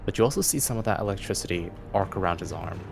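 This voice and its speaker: A man's voice: sits low, lively intonation, fast talker, gravelly, music in the background. A man narrating a tabletop fantasy game as game master, with dramatic, descriptive storytelling.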